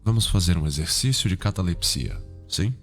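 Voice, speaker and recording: A man with a Brazilian accent playing a calm, cultured, sinister psychiatrist in an ASMR roleplay, speaking in a formal, measured, softly probing way. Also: low-pitched. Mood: clinical, instructive. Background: background music.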